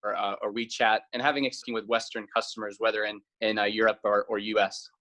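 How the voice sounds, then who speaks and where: A man's voice: lowish voice, flat delivery, fast talker, bright, forceful tone. A man from South Carolina giving conversational interview answers as a guest, a little hesitant and repeating words. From South Carolina, United States. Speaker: interview guest, company founder.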